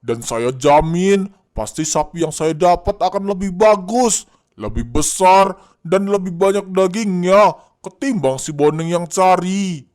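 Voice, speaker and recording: A cocky, bragging village man in a cartoon drama. He talks in a raised, fairly high tone, slow and drawn out, and chuckles at his own schemes. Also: expressive, a little mellow. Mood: boastful. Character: boastful middle-aged village man.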